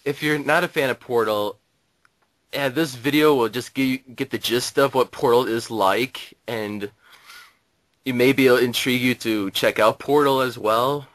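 A man's voice: medium pitch, a bit bright, dry recording. A man co-hosting a casual web show, talking in a hesitant way with many fillers and restarts. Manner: hesitant, halting with fillers and repeats. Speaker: podcast co-host. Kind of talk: casual chat.

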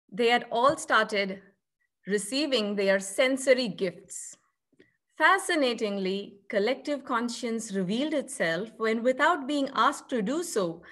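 Higher-pitched woman giving a scripted presentation. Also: a bit bright. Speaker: theatre artist presenting at a conference. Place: indoors on a video call.

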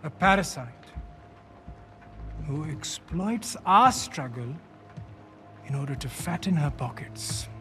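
An actor playing a menacing, mock-courteous rebel warlord in a video game, theatrical and taunting in his deliberate phrasing. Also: on the high side, lively intonation, sharp tone, full character voice. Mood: scornful. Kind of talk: cinematic video game acting. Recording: dramatic music behind.

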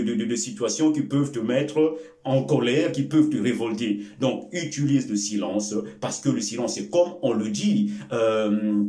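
A man in his forties, a native Bembe speaker, talks to the camera slowly and with emphasis, stretching out key phrases and stopping on hesitant filler sounds. His pitch sits at a middle level. The sound has a noticeable room echo. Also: warm tone. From Congo. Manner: slow and emphatic with many filler sounds and repetitions; gestures with both hands while speaking. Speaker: life-lesson video host. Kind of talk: motivational talk to camera. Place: small indoor room before a curtain. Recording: echoey room.

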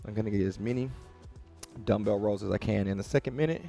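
A man's voice: fairly low pitch, quick pace, gravelly, dark tone, soft background music. A man in his thirties coaching a workout to camera in a casual, direct, motivational way, recorded in a small gym. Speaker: fitness coach. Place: small home-style gym with rubber floor, indoors.

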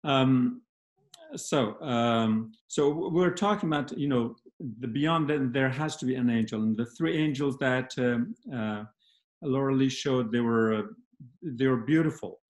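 A man speaks as a panel guest over a video call, unhurried and thoughtful, stopping often to find his words. His voice sits at an ordinary pitch. The room around him rings with noticeable echo. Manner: slow, measured, many pauses and fillers. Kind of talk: reflective discussion on a video call. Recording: roomy video call audio.

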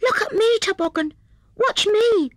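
A woman voicing a tiny, eager young male hedgehog in a children's storybook, with a squeaky, piping little voice. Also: animated, takes it slowly, bright, forceful tone, dry recording, studio quality, full character voice. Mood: showing off. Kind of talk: children's storybook character reading.

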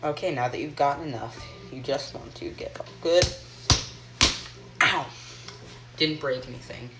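A teenage boy hosting a joking homemade cooking show in a home kitchen, rambling deadpan through mock instructions with plenty of ums, filmed on a phone by a friend. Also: fairly high pitch, a little slow, over music. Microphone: phone camera held by a friend. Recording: noisy home phone video.

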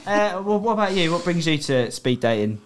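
A man's voice: on the high side, sing-song, a little fast. A young man stammers and giggles through an improvised comedy date. He sits close to a desk mic in a small studio, with a faint hiss behind. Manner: awkward, hesitant, giggly. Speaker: podcast co-host. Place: small home podcast studio. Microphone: studio mic on a stand.

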